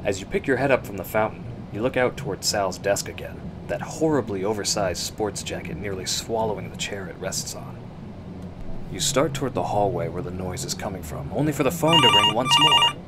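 A man narrating a suspense radio drama in the second person, with measured, hushed storytelling. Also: lowish voice, huge pitch swings, noisy background.

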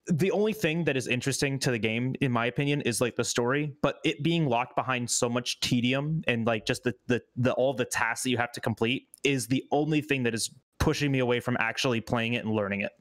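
A man's voice: mid-range voice, fairly quick, hardly any pauses, fairly bright tone. A man of twenty-seven chatting casually and wryly as a podcast co-host, often repeating words as he gathers his thoughts. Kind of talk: casual chat. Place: room at home, indoors.

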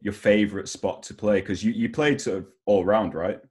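A man's voice: on the low side, fairly even intonation, moderate pace, darkish tone. A man in his twenties doing a casual interview over a video call, with informal, hesitant phrasing. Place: home room, video call. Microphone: headset on video call.

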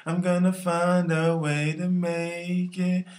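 A young man in a loose, amateur session, moving slowly between casual singing and banter. He sounds relaxed about retakes, recorded in a small room on a camera at arm's length. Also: high-pitched. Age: young adult.

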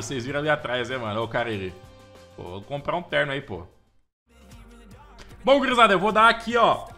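Excitable, bright-voiced Brazilian man gaming. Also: on the high side. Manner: slangy, chatty, keeps saying "mano" and "velho"; gets loud and excited over the crowd. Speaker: gameplay commentator. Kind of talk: casual let's-play commentary.

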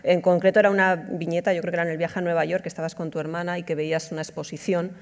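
Low-voiced middle-aged woman interviewer. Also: little pitch movement. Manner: measured, builds long questions. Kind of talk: onstage interview. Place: large auditorium stage. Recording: long hall reverb.

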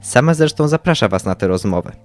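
A man's voice: mid-range voice, lively intonation, quick pace, soft background music. A young man co-hosting a podcast, giving scripted book recommendations. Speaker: podcast co-host.

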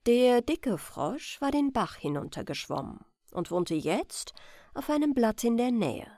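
A woman reads a bedtime fairy tale in a fairly high voice. She takes her time, phrasing carefully and expressively with lots of melodic movement. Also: sing-song, unhurried, mellow, no room sound, studio quality. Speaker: storyteller. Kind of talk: bedtime fairy-tale reading, scripted narration.

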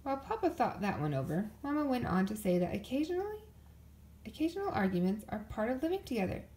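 A woman reading a children's picture book aloud as an expressive storyteller. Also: fairly high pitch, quick pace, a little hiss or hum. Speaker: storybook reader.

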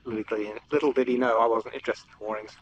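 Man on a sailboat, engine rumble. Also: lo-fi sound. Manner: relaxed, rambling, many ums and pauses. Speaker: solo sailing vlogger. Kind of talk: casual running commentary to camera. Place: outdoors on a sailboat on open water. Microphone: action camera on the boat. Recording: wind and boat engine rumble behind.

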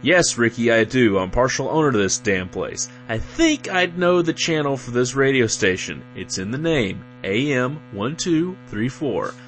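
A man's voice: mid-range voice, expressive, unhurried, few pauses, faint music behind, lightly acted. A man playing an exasperated, sarcastic co-owner of a radio station in a comedic audio drama. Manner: irritable, sarcastic.

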